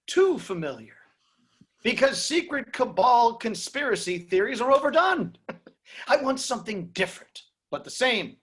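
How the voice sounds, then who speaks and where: A man acts out a brash Hollywood studio boss with a very high, bright voice. He swings animatedly between glib salesmanship and flustered stammering in a table-read drama. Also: full character voice. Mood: dismissive. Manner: stammering, fast-switching, salesman-like.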